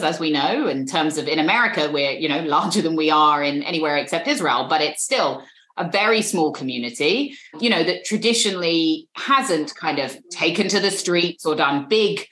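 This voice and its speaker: A woman's voice: low-pitched, animated, normal speed, fairly bright tone, echoey room. A British woman in an interview, speaking earnestly and often repeating words as she thinks. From Britain.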